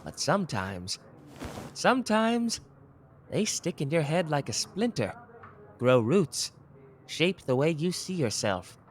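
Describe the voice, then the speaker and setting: Man playing a young detective, sharp, playful, teasing, theatrical, slightly high voice, very lively pitch, audio roleplay. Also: slight background noise. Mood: brooding. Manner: quick, teasing, theatrical shifts between cheeky and grave. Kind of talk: listener ASMR roleplay.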